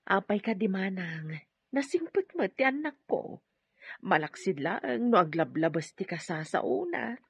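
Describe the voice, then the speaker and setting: A woman acting a patient, apologetic poor mother in an Ilocano audio drama, with a husky voice. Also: medium pitch, sing-song, a little slow, no echo, exaggerated voice. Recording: dull, lo-fi dry recording.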